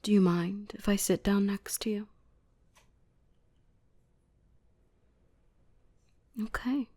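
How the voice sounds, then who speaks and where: A woman playing a gentle, protective dark elf in an ASMR roleplay, speaking slowly and tenderly in a soothing soft voice that slips into breathy whispers. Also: mid-range voice, natural voice. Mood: affectionate, intimate.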